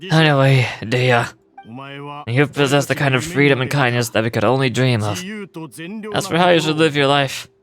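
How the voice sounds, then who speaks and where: A man performs a rueful older father and desert chief from a video game. His mid-pitched voice is boastful yet wistful and tender, with sighs. Clear music plays behind him. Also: normal speed, a bit bright, close to an everyday voice. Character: doting older male desert gang leader, a rueful adoptive father. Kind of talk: video game character monologue reading a letter.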